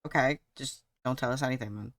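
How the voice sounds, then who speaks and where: A woman's voice: extremely low pitch, fast talker, no room sound, studio quality. A woman streamer giving casual live reactions while playing a video game.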